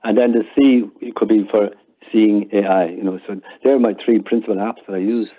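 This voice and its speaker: A man's voice: fairly low pitch, steady tone, brisk. An older man with an Irish accent speaks in a casual, conversational way, as if reminiscing in an interview. He often repeats words as he goes. His voice comes through a muffled, narrowband line that sounds like a phone call. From Ireland. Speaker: interview guest.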